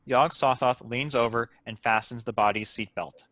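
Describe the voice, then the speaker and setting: A man's voice: mid-range voice, fairly even intonation, moderate pace, a bit bright, no room sound. A man reading out stage directions as the narrator of a scripted table read.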